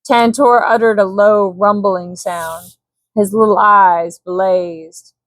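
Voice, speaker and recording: A woman reading a scripted bedtime story as a calm audiobook narrator. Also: mid-range voice, dry recording.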